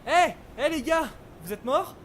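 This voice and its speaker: An actor playing an anxious, freezing soldier caught in a snowstorm in an audio drama. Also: male voice, very high voice, expressive, unhurried, a little hiss or hum. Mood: urgent.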